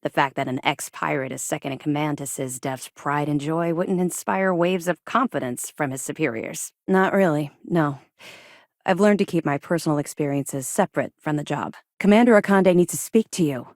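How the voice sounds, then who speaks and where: Seasoned female military officer for sci-fi game: authoritative, clipped, lower register, close dry recording. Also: normal speed, a little mellow, dry recording. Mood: dry, guarded. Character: stern, seasoned female space-navy officer, reformed ex-pirate. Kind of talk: video game voice acting.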